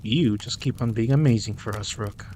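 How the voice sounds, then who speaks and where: A man acting as a dominant, cocky mafia boss in an intimate audio roleplay, smooth-talking, teasing and possessive. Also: lowish voice, normal speed, a little mellow, slight background noise, close to an everyday voice. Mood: confident, plotting.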